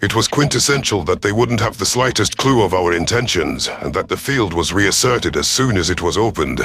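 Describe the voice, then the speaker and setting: A man's voice: deep voice, lively intonation, moderate pace, a bit bright. A man reading a first-person science fiction story as a scripted narration. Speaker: narrator of sci-fi audio stories. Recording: music behind the voice. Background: music.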